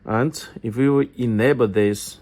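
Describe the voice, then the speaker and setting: A man giving a step-by-step product tutorial in halting English, often repeating his phrases. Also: medium pitch, darkish tone. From China. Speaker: product demonstrator for an electronics company.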